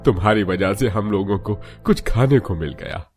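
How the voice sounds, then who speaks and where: Man voicing a deep-voiced, kindly elderly father-in-law. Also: normal speed, a little mellow, faint music behind, natural voice. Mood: grateful. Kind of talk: Hindi cartoon moral story dubbing.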